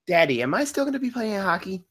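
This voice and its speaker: Woman acting earnest, expressive young boy. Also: mid-range voice, fast. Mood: sweet. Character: earnest, sensitive young boy, hockey-loving son. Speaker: actor at a table read. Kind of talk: live script table read.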